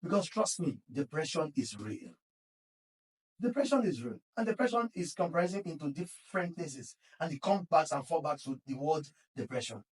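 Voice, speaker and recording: A man's voice: on the high side, expressive, normal speed, recorded from a distance, poor audio. A Nigerian man, founder of a podcast studio, earnestly urging listeners to speak up in a casual interview. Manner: earnest, exhorting.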